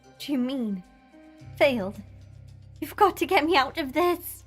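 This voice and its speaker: A woman's voice: sits high, animated, measured pace. A woman playing a frightened, indignant girl companion in a sci-fi audio drama, full of anxious questions. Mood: frightened, panicky. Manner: panicky, questioning. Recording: music under the dialogue.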